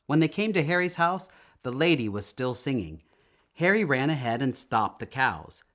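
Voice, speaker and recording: A man reading a children's story aloud in an expressive, emphatic storytelling manner, drawing out words. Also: mid-range voice, normal speed. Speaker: storytime reader.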